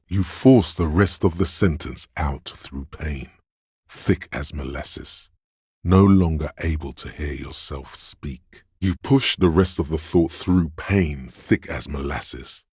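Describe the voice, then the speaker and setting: The voice of pain inside someone's head, a male presence with an extremely low, husky rumble. It narrates a dark video game like grim poetry, brooding and deliberate. It goads the listener with theatrical menace, close and dry. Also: no echo, exaggerated voice. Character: inner voice of pain, male, menacing, darkly poetic. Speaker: video game narrator voicing a character's inner thought. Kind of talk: dramatic second-person game narration.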